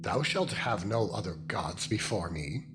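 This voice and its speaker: A man's voice: fairly low pitch, big pitch swings, a little slow. A man voicing the Lord God as a solemn, authoritative deity, delivering archaic commandments in a dramatized scripture reading. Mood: solemn commanding. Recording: loud music under the voice. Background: loud music.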